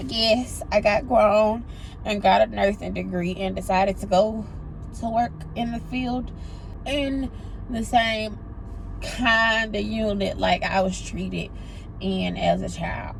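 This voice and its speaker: A woman's voice: mid-range voice, steady tone, slow pace, gravelly, slight background noise, poor audio. A young woman, a pediatric nurse and vlogger, talking casually to her viewers with a stuffy, congested voice. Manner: chatty, drawling, addresses viewers as y'all. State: congested, sniffling. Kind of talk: casual vlog chat. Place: car and errands.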